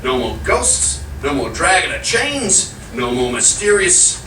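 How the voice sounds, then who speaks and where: Young skeptical husband, male actor, theatrical and flustered, lively intonation, roomy noisy live radio-play recording. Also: fairly high pitch, measured pace. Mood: relieved, then alarmed. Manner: theatrical, stammering when flustered. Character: exasperated young skeptical husband selling a house. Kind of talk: live old-time radio play acting. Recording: echoey distant stage recording with noise.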